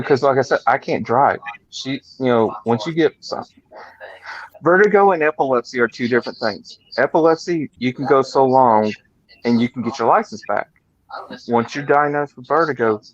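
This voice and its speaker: A man's voice: mid-range voice, normal speed. Rambling man in his forties, lo-fi. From Georgia, USA. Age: 40s. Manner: rambling, stammering restarts. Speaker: chat participant. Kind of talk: casual group chat.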